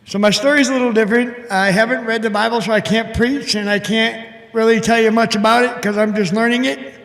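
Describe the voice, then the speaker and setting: An older man with a big, booming voice giving an earnest, halting personal testimony to a church congregation over a mic. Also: steady tone, vocal fry, fairly bright tone. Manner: earnest, halting, emotional storytelling. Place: church hall. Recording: echoey hall with long reverb.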